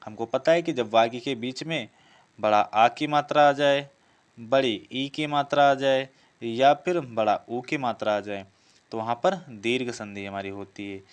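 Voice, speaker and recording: A man's voice: mid-range voice, unhurried, smooth. A man giving an instructional lesson, working through practice questions and repeating each before answering it. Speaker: teacher presenting exam practice questions.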